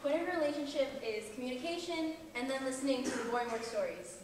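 Teen girl as a high-voiced blunt friend, echoey hall. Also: expressive, fast talker, crowd noise. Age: teens. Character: blunt, advice-giving young female friend. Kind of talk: high school stage play acting. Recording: echoey auditorium stage from far off, noisy.

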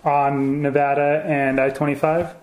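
A man's voice: a little high, flat delivery, unhurried, a little mellow, poor audio. A man working as an investigator, asking brief, matter-of-fact questions during a phone interview. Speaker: investigating agent. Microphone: telephone.

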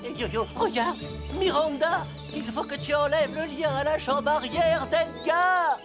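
A small, clever cartoon animal with a high voice. The earnest sidekick talks with precise urgency, with loud music underneath. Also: a little slow. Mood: urgent. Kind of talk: French cartoon dub acting.

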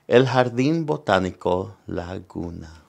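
A man with a Salvadoran accent hosts a children's storytime. His voice sits a little low and has a strong creaky, gravelly edge. He reads at an unhurried pace and stops to explain things to young listeners. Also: expressive. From San Salvador, El Salvador. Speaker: storytime host. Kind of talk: bilingual read-aloud of a children's picture book. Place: indoor room in front of wooden backdrop.

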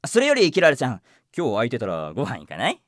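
A man's voice: mid-range voice, huge pitch swings, quick pace, dry recording, clean studio sound. An actor playing a cocky, flirtatious male voice actor with breezy, slangy talk in a voice drama. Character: swaggering, flirtatious male voice actor, unhappily married. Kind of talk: voice drama acting.

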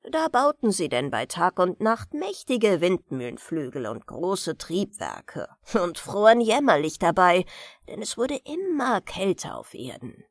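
A woman reading a fairy tale as a bedtime audiobook, in an expressive, unhurried storytelling manner. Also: mid-range voice, no echo, clean studio sound. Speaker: audiobook reader of fairy tales.

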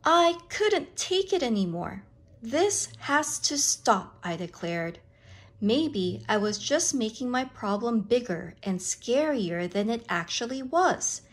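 Slow, animated woman reading to children. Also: on the high side, breathy edge. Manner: deliberate, dramatic phrasing for young listeners. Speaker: storyteller reading a picture book to children. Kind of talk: expressive children's story read-aloud.

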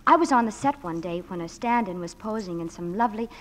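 A young woman with a medium-pitched voice, speaking as a starlet guest on 1940s radio. Her scripted lines carry long hall reverb. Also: expressive, fast talker, very noisy. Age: young adult.

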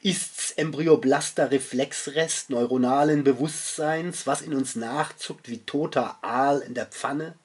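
A middle-aged man reciting his own literary poetry in a solemn, dramatic and deliberate manner. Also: medium pitch, husky. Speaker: poet reading own work.

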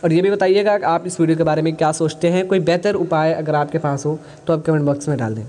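A man with an Indian accent explaining software as a tutorial host, mixing in English phrases. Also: a little high, fast talker.

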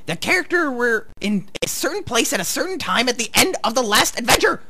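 A woman's voice: a little high, sing-song, a little fast, bright, forceful tone, breathy edge, exaggerated voice. A woman acting a bossy, touchy young game master in a live radio play, commanding and defensive. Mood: exasperated, heated. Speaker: actor.

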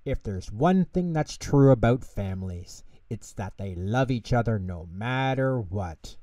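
Calm storybook bear performed by a male reader, reassuring, soft-edged mellow tone, leisurely gentle delivery. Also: fairly low pitch, dry recording, clean studio sound, recorded from a distance. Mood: kindly, reassuring. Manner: gentle, unhurried, soothing.

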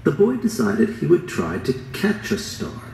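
A man reading a children's picture book aloud in a gentle storybook manner. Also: on the high side, wildly swooping pitch, normal speed, gravelly, recorded from a distance, a little hiss or hum. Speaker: read-aloud narrator. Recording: recording played in an echoey gym, heard from afar.

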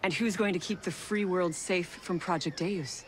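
A woman's voice: fairly low pitch, fairly even intonation, moderate pace, a little hiss or hum, close to an everyday voice. A woman playing a skeptical, principled engineer in a video game, speaking in pointed challenges. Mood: indignant. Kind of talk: video game cutscene acting.

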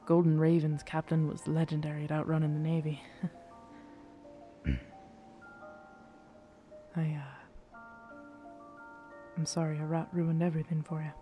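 A performer acts out a cursed pirate captain in an intimate audio drama. The delivery is unhurried and halting, with rough seafarer phrasing. The captain is guarded and weary yet tender, at a middling pitch, with faint music behind. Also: completely flat delivery, warm tone, natural voice. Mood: admiring, regretful. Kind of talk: ASMR roleplay audio drama, intimate second-person scene.

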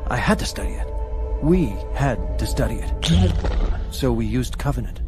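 A man's voice: medium pitch, animated, moderate pace, close to an everyday voice. An actor playing a defensive, ruthless male military researcher in a dramatic motion comic, lecturing with self-justifying intensity. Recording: music behind the voice.

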